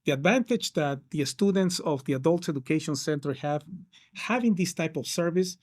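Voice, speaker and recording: Man, fairly high voice. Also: expressive, moderate pace, darkish tone. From Peru. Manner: hesitant, many fillers and restarts. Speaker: case manager counselor. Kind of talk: interview answers.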